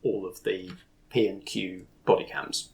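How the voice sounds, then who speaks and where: A man with a lowish voice runs a tabletop game. He narrates off the cuff, explaining the setting to players in an easy conversational manner. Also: level tone, unhurried, vocal fry. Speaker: game master.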